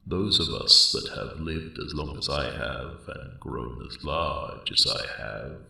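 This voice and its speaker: Cavernous, gravelly ancient dragon. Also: male voice, slow pace, sharp tone, full character voice. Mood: reflective. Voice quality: very deep, gravelly growl. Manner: rambling, genteel, old-fashioned phrasing. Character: centuries-old huge male dragon, friendly, courteous, wry guardian. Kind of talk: ASMR character roleplay monologue. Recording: roomy cave-like echo, distant.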